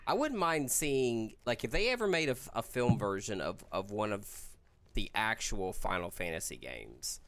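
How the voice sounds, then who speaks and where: A man with a fairly low, creaky voice talks as a podcast co-host. He rambles hesitantly in casual conversation, his intonation swinging up and down. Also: sing-song, normal speed, fairly bright tone. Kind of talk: casual chat.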